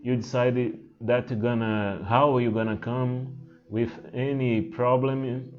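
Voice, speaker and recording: A man whose first language is Portuguese, speaking English with a Portuguese accent as a spiritual teacher, halting and hesitant with many fillers and restarts. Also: medium pitch, little pitch movement. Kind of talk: unscripted spiritual talk to camera. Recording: muffled, with singing bowl music behind.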